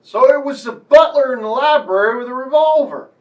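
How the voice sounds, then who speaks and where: A man's voice: extremely high pitch, a little fast. Distant, folksy cowboy boss acted by a man. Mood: hearty. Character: folksy Texan cowboy boss, hearty and blunt.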